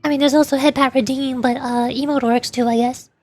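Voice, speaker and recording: A woman live-streaming a game and chatting in halting, self-conscious asides. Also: sits high, steady tone, faint music behind. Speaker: streamer, virtual YouTuber.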